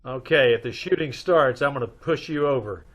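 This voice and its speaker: A man's voice: mid-range voice, normal speed, a bit bright, dull audio, natural voice. A man playing a dry, wisecracking, level-headed married detective in old-time radio style, wry and matter-of-fact. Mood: cautious.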